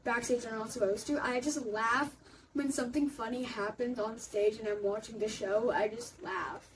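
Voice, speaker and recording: Teen girl, raspy, halting, lots of "like", faint background noise. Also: on the high side, fairly even intonation. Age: teens. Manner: halting, heavy use of "like". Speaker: young theater student. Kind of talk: casual chat. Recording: distant mic, faint room noise.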